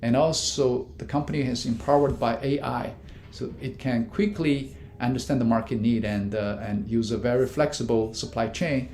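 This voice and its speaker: A man, a business professor, giving measured answers in an interview with frequent fillers and restarts. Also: fairly even intonation, a little mellow. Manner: many fillers and restarts, non-native grammar; measured, explanatory. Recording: echoey room with faint rumble.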